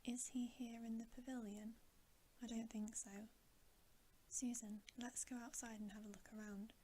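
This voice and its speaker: A woman's voice: fairly high pitch, flat delivery, normal speed, dark tone, a little hiss or hum, close to an everyday voice. A woman voicing anxious, tender young girls from a storybook in an earnest, worried whisper. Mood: uneasy.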